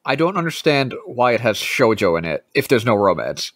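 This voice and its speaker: Lively, swooping male podcast co-host. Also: medium pitch, no room sound. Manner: animated, wry, quick to question. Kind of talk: casual chat.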